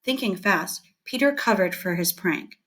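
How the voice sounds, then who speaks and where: A woman teacher reading scripted educational material aloud in a clear, deliberate and expressive way. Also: medium pitch.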